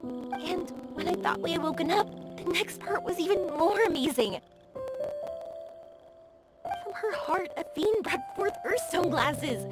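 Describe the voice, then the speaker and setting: Bubbly anime game girl, young adult, really high lively voice, gushy and sincere, music behind her. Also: unhurried, long pauses, poor audio. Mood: dreamy, earnest. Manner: gushing, expressive, earnest. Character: bubbly young woman, warm-hearted, dreamy, enthusiastic adventurer. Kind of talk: anime-style video game voice acting.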